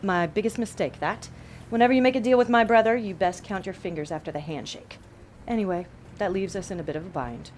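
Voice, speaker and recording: A woman's voice: medium pitch, quick pace, a little mellow, a little hiss or hum, natural voice. A woman playing a sardonic, bossy female devil who runs an office in a comedy audio drama. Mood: businesslike, irritated. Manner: bossy, sarcastic, exasperated.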